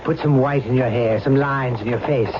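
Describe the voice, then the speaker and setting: A woman playing a cool, calculating schemer who gives bossy, deliberate orders, in old-time radio drama style. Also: rumbling low. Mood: commanding. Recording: muffled, very noisy old radio recording.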